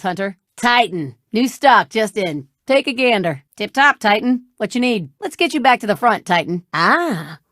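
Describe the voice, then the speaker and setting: A woman's voice: a little high, dry recording, clean studio sound. A woman voicing a brash, wry, hardworking shipwright in a video game, with a casual, folksy, bossy and teasing manner. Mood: breezy sales patter. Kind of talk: video game character dialogue.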